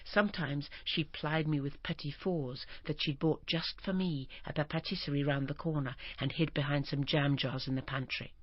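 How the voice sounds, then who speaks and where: A woman's voice: sits low, normal speed, hardly any pauses, no room sound. Woman reading a memoir expressively. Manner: expressive, turning breathy and whispered at moments of feeling. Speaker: audiobook narrator. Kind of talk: first-person memoir reading. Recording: dull, dry recording.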